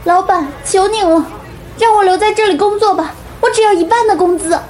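A woman acting a hardworking, tired teenage older sister in an audio drama, gentle and earnest. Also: extremely high pitch, a little fast, a little hiss or hum. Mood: pleading, eager.

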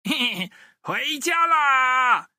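Storybook villain played by a male narrator: sly greedy woodcutter, exclamatory, wildly swooping pitch. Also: expressive, extremely slow, bright, forceful tone, dry recording, studio quality, full character voice. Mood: gloating. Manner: gleeful, exclamatory, cartoonishly sly.

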